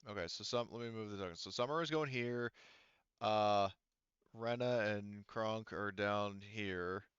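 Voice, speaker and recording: A man narrates a tabletop game off the cuff, in a dry, close recording that sounds slightly dull. His voice is fairly low, and he talks casually, working out rulings aloud with the odd curse. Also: a little slow, a bit bright, clean tone, no echo. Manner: casual, profane, thinking aloud. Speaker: game master.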